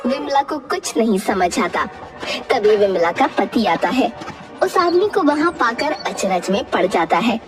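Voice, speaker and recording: A woman's voice: on the high side, big pitch swings, unhurried, distant mic, over music. A woman narrating a scripted cartoon story as the storyteller.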